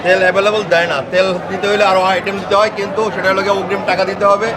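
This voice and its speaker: A man's voice: high voice, flat delivery, racing, over a noisy crowd. A Bangladeshi man, a shopkeeper, answering inspectors off the cuff in a defensive, explaining way. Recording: loud crowded noisy market shop.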